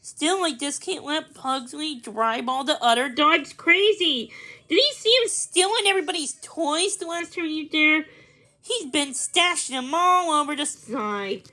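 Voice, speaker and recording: A woman voicing a kindly, worried aunt in a children's storybook, gentle and sincere. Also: sits high, unhurried, voice pushed up. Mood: firm, worried. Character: kindly, worried aunt who loves dogs.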